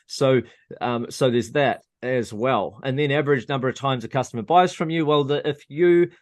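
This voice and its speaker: A man's voice: medium pitch, normal speed. A man with a mid-range voice explains in a methodical way. He lists his points one by one and checks in with the listener as he goes. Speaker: interview host. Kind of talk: explaining in an interview.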